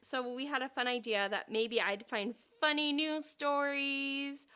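A woman's voice: high voice, flat delivery, measured pace, sharp tone. A woman co-hosting a podcast, talking in a chatty, thoughtful way and laughing easily. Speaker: podcast co-host. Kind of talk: casual podcast chat.

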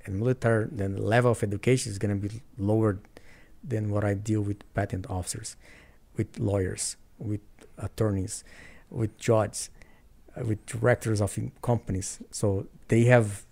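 A man's voice: on the low side, mellow. A man from Brazil with a Brazilian Portuguese accent, a guest in a casual interview, talking earnestly in English with frequent restarts.

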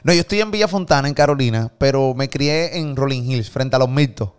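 A man's voice: medium pitch, brisk, clear, resonant tone. A middle-aged man from Puerto Rico with a Puerto Rican accent, hosting a studio chat, crude and joking, often laughing. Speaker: podcast host. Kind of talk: casual chat.

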